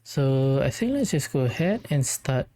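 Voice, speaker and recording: Very low-pitched, slow, mellow woman gamer. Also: sing-song. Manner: rambling, low-key, hesitant. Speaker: gaming video host. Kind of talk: casual let's-play commentary.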